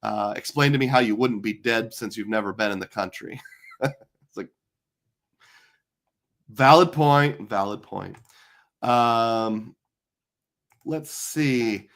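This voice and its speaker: A middle-aged man with a hoarse, tired voice, hosting a live stream in casual chat, rambling with lots of fillers. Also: on the low side, slow, pauses often, fairly bright tone. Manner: chatty, rambling with many fillers. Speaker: livestream host.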